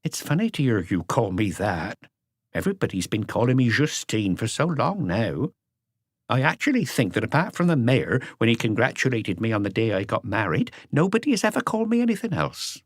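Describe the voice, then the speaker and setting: A man's voice: mid-range voice, very animated, fairly quick, slightly rough, no echo, studio quality, pitched above the performer's own voice. A man voicing a wary, proud elderly mother with muttered, defiant retorts in an audiobook. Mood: wistful, proud. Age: older. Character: sharp-tongued elderly mother, wary, proud of her son. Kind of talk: audiobook character voice.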